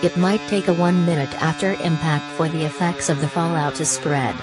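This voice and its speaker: A low, synthetic woman's voice delivers an emergency alert as an announcer would. The reading is formal, flat and impersonal, like a computer working through a script. Music plays clearly behind the words. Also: moderate pace. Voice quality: synthetic text-to-speech voice.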